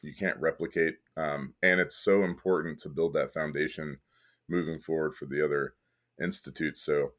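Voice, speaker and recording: A deep-voiced man from Kentucky, a podcast guest who leads an arts organization, talking thoughtfully with plenty of fillers and restarts. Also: steady tone, moderate pace, a bit bright. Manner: thoughtful, many fillers and restarts, occasional laughs. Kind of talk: casual interview conversation.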